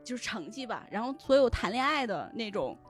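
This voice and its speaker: High-voiced woman explaining casually. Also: sing-song, a little fast, soft background music. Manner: colloquial, explains step by step, often checks with "right?". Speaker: guest web-novel editor on a podcast. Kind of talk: casual explanatory podcast chat.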